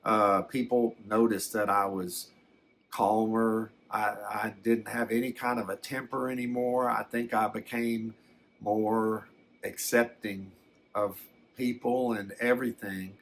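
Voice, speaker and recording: Slow, low-voiced older man acted by a man, hesitant. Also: flat delivery, gravelly, a bit bright, slight background noise. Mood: reflective, halting. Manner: hesitant, halting, reflective, folksy. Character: rambling older man recalling his alien abduction, gentle, peaceful. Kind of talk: first-person ASMR storytelling.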